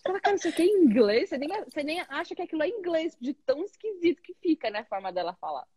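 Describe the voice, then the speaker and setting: A woman's voice: high-pitched, very fast, no echo. Chatty Brazilian woman, dry close sound. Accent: Brazilian Portuguese. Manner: chatty and animated, many tag questions. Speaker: English teacher hosting. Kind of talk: casual conversation.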